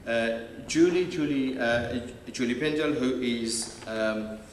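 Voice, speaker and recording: A man's voice: mid-range voice, takes it slowly. A man moderating a panel, introducing speakers with many fillers and restarts. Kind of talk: hesitant live introduction. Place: large echoey hall. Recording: distant mic, echoey hall, faint noise.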